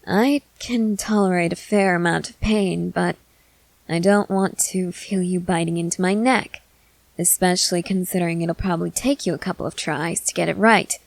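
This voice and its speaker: A woman's voice: lowish voice, steady tone, normal speed, vocal fry, no room sound, low-quality recording. Assured female vampire mentor, dry close sound, woman acting. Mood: matter-of-fact, teasing. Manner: matter-of-fact, coaxing, assured. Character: confident, reassuring experienced female vampire mentor. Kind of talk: ASMR roleplay acting.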